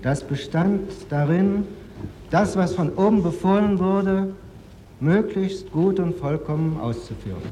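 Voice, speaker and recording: A man questioning a defendant as a judge, measured, insistent and formal, on an archival courtroom recording. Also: high-pitched, dark tone, big-room sound, slight background noise, poor audio. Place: large courtroom.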